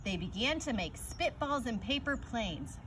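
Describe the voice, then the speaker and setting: Animated woman reading a children's storybook. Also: fairly high pitch, unhurried. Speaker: teacher reading a storybook aloud. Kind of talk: animated children's read-aloud narration. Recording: noisy, picked up from a distance.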